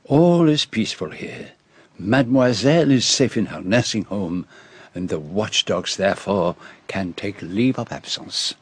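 An actor playing a courteous, shrewd, vain detective with a French-speaking Belgian accent, formal and precise, in a radio drama. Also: male voice, lowish voice, expressive, unhurried, slightly rough, no room sound. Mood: wry, assured.

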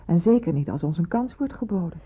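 Thin, muffled old radio sound frames a middle-aged woman as a senior nurse. Her voice is lowish and very husky. She is stern but motherly, with persuasive authority, in a radio drama. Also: lively intonation, fast talker. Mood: motherly, consoling. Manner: authoritative, admonishing. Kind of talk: Dutch radio play acting.

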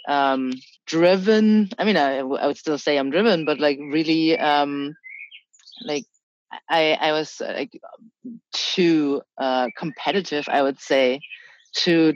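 A woman's voice: low-pitched, measured pace, no echo, muffled recording. A young woman from Germany talking about her identity as an interview guest, hesitant with many restarts and fillers. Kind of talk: casual interview talk.

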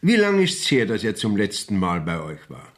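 An actor playing a courteous, probing court inspector in a radio play, speaking measured, formal verse with a husky voice. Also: male voice, on the low side, wildly swooping pitch. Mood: suspicious, questioning. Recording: 1970s radio drama studio recording.

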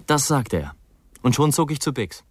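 Ein Schauspieler spielt in einem Hörspiel einen Musiker, der im Plauderton von einem alten Freund erzählt. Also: male voice, mid-range voice, very animated, normal speed, slightly rough. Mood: fond, chatty. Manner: conversational, addresses the listener. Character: Jim, chatty musician remembering an old friend. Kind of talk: radio drama reminiscence.

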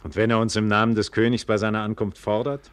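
A man acting as a proud, impassioned nobleman in a classical radio play. He speaks in a fairly low voice with emphatic, theatrical rhetoric, recorded dry without room sound. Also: little pitch movement, quick pace, clear, resonant tone, dry recording, close to an everyday voice. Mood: disbelieving. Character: proud, trusting, impassioned nobleman scorning caution. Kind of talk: classical German stage drama for radio.

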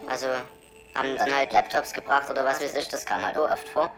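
A woman's voice: extremely low pitch, flat delivery, fairly quick. A woman speaking casually as an interviewee, in everyday colloquial language. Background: loud music.

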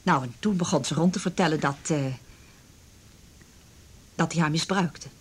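A woman's voice: sits low, moderate pace, husky. An actress playing a middle-aged village mother in a radio drama, gossipy and confiding, with a hesitant, rambling way of talking. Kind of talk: naturalistic radio drama dialogue.

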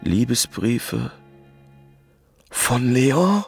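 An actor playing a grieving, gullible widowed husband, broken and forgiving, with a husky, gravelly voice in a German radio drama. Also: male voice, mid-range voice, very animated, extremely slow, soft background music, heavily acted. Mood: stunned.

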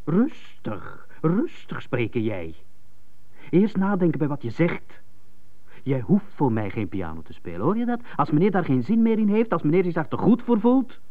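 A man's voice: a little high, wildly swooping pitch, normal speed. A man playing a domineering, sarcastic middle-aged father in a radio play, commanding, mocking and repeating himself. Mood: angry, mocking. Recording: thin phone-like radio recording.

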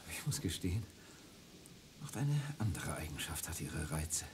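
An actor playing a reserved, ironic gentleman in a radio play. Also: very deep voice, sing-song, normal speed, background noise. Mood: wry, tender.

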